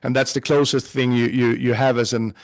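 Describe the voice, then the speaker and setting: A male actor from Sweden with a Swedish accent, answering interview questions in a halting way with many restarts. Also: mid-range voice, flat delivery. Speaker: actor guest.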